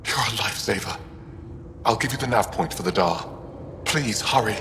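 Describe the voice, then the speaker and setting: A man's voice: lowish voice, normal speed, close to an everyday voice. An actor playing an earnest, urgent male alien historian in a sci-fi video game. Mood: grateful, urgent. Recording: long reverb, clear background noise.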